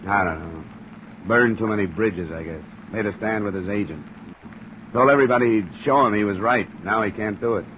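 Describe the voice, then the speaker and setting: Deep-voiced hard-boiled detective played by a man. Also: brisk, fairly bright tone, lightly acted, rough recording. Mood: wry, weary. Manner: blunt, hard-boiled, pressing. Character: tough, cynical, blunt male insurance investigator. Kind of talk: old-time radio detective drama acting. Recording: old radio recording with faint hiss.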